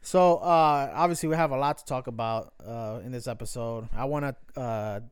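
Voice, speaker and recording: Male podcast co-host, 30s, smooth mid voice, loose and profane. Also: medium pitch, normal speed, no room sound, studio quality. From New York. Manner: swearing, loose storytelling with impressions. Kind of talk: casual chat.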